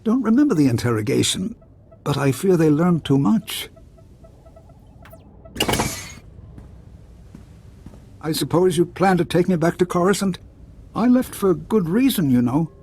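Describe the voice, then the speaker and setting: An actor playing an irascible, opinionated scientist in a video game, with a rough, blunt, scornful and emphatic delivery. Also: male voice, fairly high pitch, very animated, normal speed, warm tone, heavily acted. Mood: wry, weary. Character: irascible, opinionated male scientist, proud and jaded.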